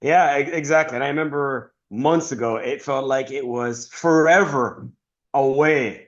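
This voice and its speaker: A man hosting a sports podcast with energetic, hype-announcer flair. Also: mid-range voice, a little slow, highs cut off. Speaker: podcast host. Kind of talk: upbeat show hosting.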